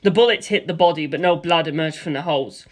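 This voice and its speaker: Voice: medium pitch, fast talker. A narrator telling a first-person action story, voicing gunfire and explosions aloud. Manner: vivid storytelling with spoken sound effects for gunfire and explosions.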